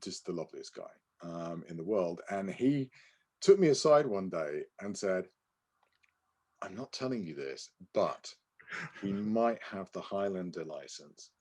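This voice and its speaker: Low-voiced man chatting hesitantly. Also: expressive, unhurried, a little mellow, breathy edge. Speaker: podcast co-host. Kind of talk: casual discussion and anecdotes.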